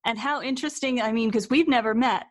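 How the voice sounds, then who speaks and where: A woman's voice: fairly high pitch, fast, a little hiss or hum. A woman hosting a casual interview, chatty and giggly in an informal, conversational way. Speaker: interview host, author and voice-over performer. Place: remote video call from another country.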